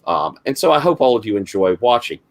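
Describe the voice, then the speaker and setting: A middle-aged man with a heavy Southern accent and a gravelly voice, rambling through a casual introduction to the game he runs as game master, with lots of fillers and restarts. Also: on the low side, very animated, a little fast. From South Carolina, US.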